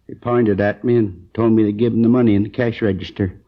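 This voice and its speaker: An American man playing an injured, groggy storekeeper in his sixties, rambling haltingly and earnestly in a 1950s radio crime drama. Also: on the low side, steady tone, lightly acted. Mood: earnest, weary. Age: 60s. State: groggy, in pain. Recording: dull old 1950s radio recording.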